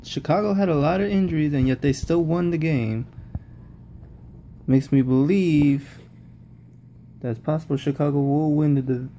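A man's voice: fairly high pitch, normal speed, pauses often, dark tone, a little hiss or hum. A man giving casual, rambling post-game football commentary, often repeating himself and restarting sentences. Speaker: football vlogger.

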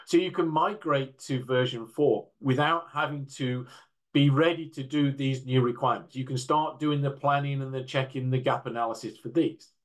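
An older man giving a measured, explanatory slide presentation as a panel speaker on an indoor video call. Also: medium pitch. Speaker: panel speaker from a standards council.

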